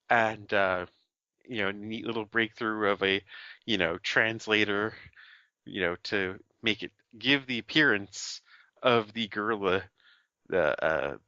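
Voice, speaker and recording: A man's voice: fairly low pitch, pushed and bright, no echo, highs cut off. A man co-hosting a podcast, summarizing a film plot in casual chat, with many fillers and restarts. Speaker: podcast co-host.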